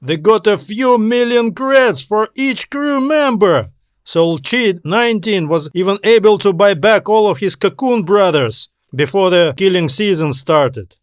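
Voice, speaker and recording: A man's voice: high-pitched, animated, measured pace, no room sound, studio quality, voice pushed up. A man voicing a brash, irritable male space explorer with a dismissive, slangy, emphatic delivery in an audio story. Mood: dismissive, eager.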